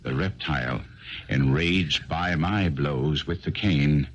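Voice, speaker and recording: A man playing an assured, grave, analytical gentleman detective, explaining with authority in a radio drama. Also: a bass voice, takes it slowly. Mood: assured, explanatory. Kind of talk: 1970s radio drama acting. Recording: dull, noisy 1970s radio recording.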